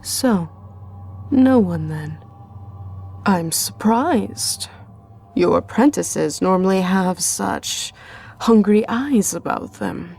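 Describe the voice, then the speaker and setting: A woman playing the Reaper, a calm and cunning leader of soul-takers, speaking in a measured, coolly authoritative way in an audio drama. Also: medium pitch, creaky, husky, full character voice. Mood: dry, cunning. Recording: music behind the voice.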